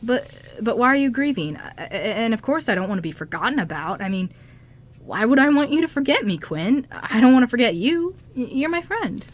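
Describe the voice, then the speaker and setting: A woman's voice: fairly high pitch, sing-song, lo-fi sound. A woman playing an anxious, confused young man in an amateur radio drama, stammering and pleading. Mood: lost, anguished. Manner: stammering, halting.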